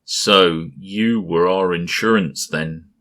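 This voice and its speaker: A man's voice: low voice, sharp tone, full character voice. Man voicing a huge taunting gas monster. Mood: cold taunting. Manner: taunting, drawn-out leering delivery. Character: giant gaseous male creature, mocking and menacing.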